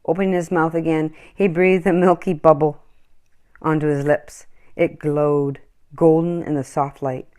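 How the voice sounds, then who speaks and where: A woman reading a children's story aloud in an expressive, acted-out storytelling style. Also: low voice, unhurried. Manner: animated, acts out dialogue and animal sounds. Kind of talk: expressive scripted storybook narration.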